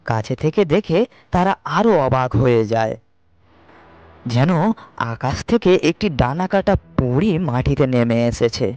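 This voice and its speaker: A man's voice: medium pitch, animated, measured pace, a little mellow, dull audio. A man narrating a scripted cartoon moral story as its storyteller. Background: faint music.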